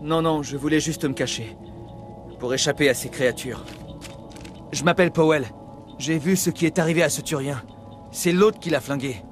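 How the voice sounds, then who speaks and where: An actor playing a frightened, cowardly human survivor in a video game, nervous and rambling. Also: male voice, fairly high pitch, normal speed, long pauses, soft background music, natural voice. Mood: pleading, scared. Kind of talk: dubbed video game cutscene acting.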